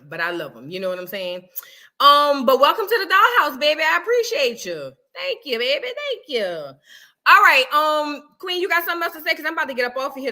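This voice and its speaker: A woman's voice: on the high side, sharp tone. A woman from New Orleans with a New Orleans accent, hosting a casual livestream and thanking viewers by name, calling them baby. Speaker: livestream host.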